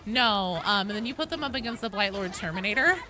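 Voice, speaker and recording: A woman's voice: mid-range voice, lively intonation, quick pace, noisy background. A woman livestreaming a game, chatting casually in a rambling, sweary, self-deprecating way. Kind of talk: casual gaming stream chat and commentary.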